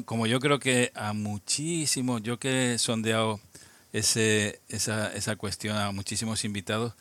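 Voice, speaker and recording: A man in his sixties from Albacete with a Spain Spanish accent, a guest looking back on his past, speaking haltingly with frequent restarts. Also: lowish voice, fairly bright tone, no echo. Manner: halting, many restarts and repeated words, reflective. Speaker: guest, amateur radio operator. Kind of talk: casual interview, reminiscing.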